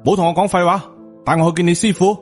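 A man's voice: high voice, fairly even intonation, quick pace, soft background music, pitched above the performer's own voice, close to an everyday voice. Man voicing a proud, scornful Cantonese master. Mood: angry, scornful. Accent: Hong Kong Cantonese. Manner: scolding, sarcastic. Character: proud, indignant male martial uncle, scornful and cold.

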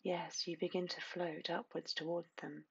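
Woman, guided meditation narration, hushed and soothing, flat intonation, husky breathy tone, long pauses, muffled lo-fi recording. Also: lowish voice. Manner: slow, soothing, hushed delivery with repeated phrases and long pauses.

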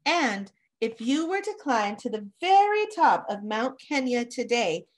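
A woman with a fairly high voice reads a picture book aloud for children. She takes it very slowly, with careful phrasing that young listeners can follow. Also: sing-song. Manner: careful phrasing, pausing to explain words simply. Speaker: read-aloud presenter for children. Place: small indoor room, home office.

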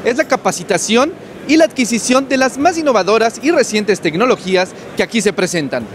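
A young male reporter presenting a scripted news report, reading off figures briskly. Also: high voice, expressive, slight background noise.

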